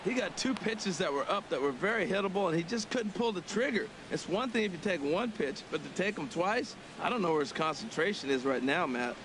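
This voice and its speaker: A man's voice: high-pitched, lively intonation, fairly quick, few pauses. A man playing a folksy, opinionated baseball color commentator in a video game, analysing the play conversationally. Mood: musing, critical. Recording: clear stadium noise behind voice.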